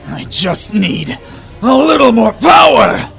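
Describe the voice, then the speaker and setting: Man voicing a high-pitched, gravelly young battle hero. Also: very animated, slow pace, exaggerated voice. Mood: straining, defiant. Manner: dramatic, strained shouting of attack names. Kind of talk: animated fantasy battle acting. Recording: dramatic music behind the voice.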